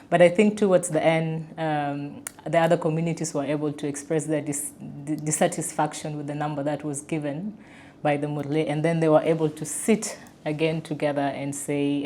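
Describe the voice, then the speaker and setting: Female discussion panelist, deep for a woman, reflective, halting delivery, slightly noisy indoor recording. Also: mellow. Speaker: podcast panelist, peace facilitator. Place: indoor room around a wooden table. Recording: clear background noise, room ambience.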